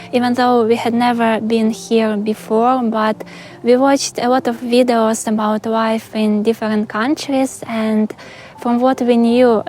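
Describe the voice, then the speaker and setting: Young adult Ukrainian woman, a touch high-pitched, calm and sincere vlog Q&A delivery. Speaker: vlogger. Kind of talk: Q&A vlog narration.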